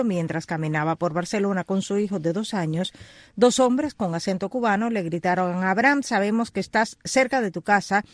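High-pitched male news anchor, dry sound. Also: no room sound. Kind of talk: scripted news narration.

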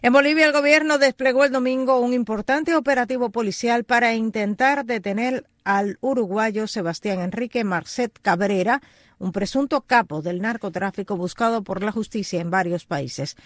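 A man with a notably high voice reads the news as an anchor. The delivery is scripted bulletin narration, recorded very dry with no room sound. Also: moderate pace, fairly bright tone, no room sound.

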